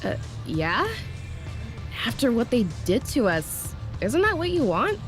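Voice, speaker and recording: A woman's voice: a little high, sing-song, measured pace, soft background music. Eager, swooping young woman wrestler. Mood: unsure, then fired up. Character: eager, cocky young woman wrestler, the excitable tag partner. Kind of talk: scripted video game cutscene acting.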